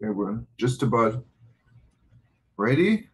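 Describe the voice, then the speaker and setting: A man hosts a live online broadcast over a video call from his home office. His pitch sits in an ordinary middle range, and he chats along easily, breaking in with quick apologies as he sorts things out. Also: very animated. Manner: chatty, apologetic asides. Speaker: host. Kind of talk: live online show hosting.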